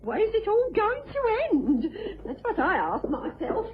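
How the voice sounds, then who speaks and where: A woman's voice: very high voice, wildly swooping pitch, a little slow, over a noisy crowd, heavily acted. An actress playing a flustered, plaintive, theatrically bewildered woman in an old-time radio drama. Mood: flustered, lamenting. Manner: plaintive, fluttery. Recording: dull old radio recording.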